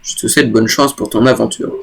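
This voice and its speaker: A man with a cold reading out the lines of a kindly father in a video game, in a plain, unhurried voice. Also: fairly low pitch, fairly even intonation, creaky, slightly rough. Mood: encouraging. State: stuffy with a cold. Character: kindly middle-aged father giving advice. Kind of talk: reading game dialogue aloud.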